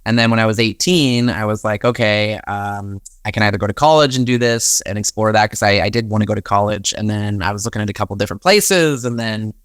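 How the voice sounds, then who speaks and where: A man's voice: fairly low pitch, brisk, few pauses, a bit bright, smooth, no echo, clean studio sound. A young American man telling stories in a chatty, conversational way as an interview guest, often acting out his own thoughts as quotes. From United States. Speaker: podcast guest, winery and wedding venue manager.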